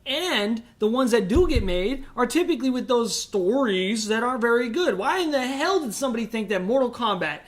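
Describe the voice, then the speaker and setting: A man's voice: very high voice, expressive, fairly quick, hardly any pauses. A man co-hosting a podcast, debating casually in an opinionated, probing way. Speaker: podcast co-host.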